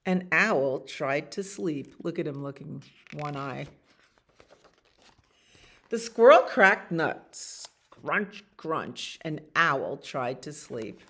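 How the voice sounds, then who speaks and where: A woman reading picture books aloud as a children's library storyteller, expressively, with casual asides about the pictures. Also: low voice. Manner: expressive reading with acted animal sounds and pauses to comment on pictures. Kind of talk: read-aloud bedtime stories with casual asides.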